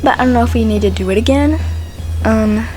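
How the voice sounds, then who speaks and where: High-voiced woman, rambling gameplay commentary. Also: fairly quick, a little mellow. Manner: hesitant, rambling, repeats phrases. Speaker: gaming video host. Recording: game music behind commentary.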